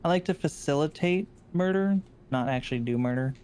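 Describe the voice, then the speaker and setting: A man playing a calm, unrepentant murder facilitator in online game roleplay, terse and deadpan. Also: a little high, fairly quick, slight background noise, natural voice. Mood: detached.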